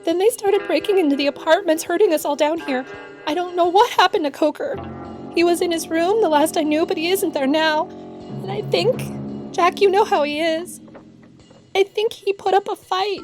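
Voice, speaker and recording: A woman's voice: very high-pitched, normal speed, a little mellow, faint music behind. An actress playing an anxious, caring young woman held captive, earnest and emotional, in a radio-style audio drama. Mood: shaken, distressed.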